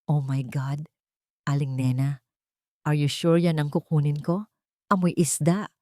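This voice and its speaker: A woman's voice: low voice, fairly even intonation, measured pace, a little mellow, no room sound, studio quality. A woman voicing a spoiled, condescending rich young woman who mocks others and mixes English into her speech. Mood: mocking. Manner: mocking, condescending, Taglish-speaking.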